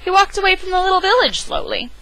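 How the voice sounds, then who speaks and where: A woman's voice: very high voice, huge pitch swings, fast talker, vocal fry, bright, forceful tone, poor audio. A woman giving a theatrical, emphatic read-aloud of a children's picture book, as an amateur storyteller on a webcam microphone.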